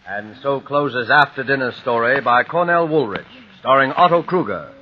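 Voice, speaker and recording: Male 1940s radio announcer, deliberate, formal, music bed. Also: medium pitch, expressive, highs cut off.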